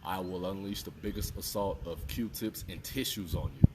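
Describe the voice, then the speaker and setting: A man's voice: low voice, moderate pace, far from the mic, very noisy. A man in his thirties giving an earnest, repetitive motivational talk outdoors. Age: 30s. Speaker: fitness vlogger. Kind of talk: casual motivational talk to camera. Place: outdoors by water and a fountain.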